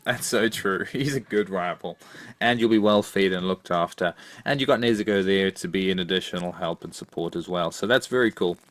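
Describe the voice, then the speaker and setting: A man's voice: low-pitched, brisk, a bit bright, no echo. A man co-hosting a podcast in casual chat, thoughtful and hesitant, often repeating his phrases. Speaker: podcast co-host.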